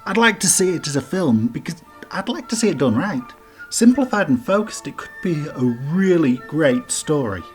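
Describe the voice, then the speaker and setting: A man's voice: on the high side, big pitch swings, moderate pace, low-quality recording. Man narrating over music. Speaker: host of a top ten list video. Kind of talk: scripted video narration, informal commentary. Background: background music.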